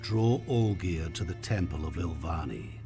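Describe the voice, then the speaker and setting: Gaunter O'Dimm, a smooth polite demon. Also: male voice, sits low, animated. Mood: genial. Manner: courteous, smooth and quietly menacing; speaks in slow, sing-song riddles. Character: genial sinister male demon in a merchant's guise. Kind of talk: video game cutscene voice acting. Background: orchestral game music.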